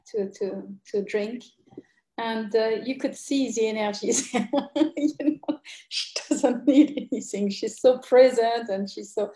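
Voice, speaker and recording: A woman sharing personal reflections casually and haltingly on a group video call. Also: on the high side, mellow. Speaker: participant in an online group conversation. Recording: roomy, echoey video call.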